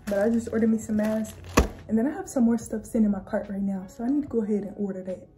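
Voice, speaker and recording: A woman's voice: mid-range voice, fast talker, music in the background. A young woman with a Southern US accent, chatting casually to her phone camera in a small apartment bedroom for her vlog. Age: young adult. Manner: chatty, relaxed, slangy. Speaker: vlogger, college student. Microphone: phone camera at arm's length.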